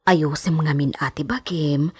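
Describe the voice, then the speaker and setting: A woman's voice: deep voice, steady tone, measured pace, darkish tone, husky, exaggerated voice. A woman playing a frank, fussy older-sister household servant in a radio drama, with a blunt, gossipy way of talking. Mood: concerned, scolding. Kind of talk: Ilocano radio drama acting.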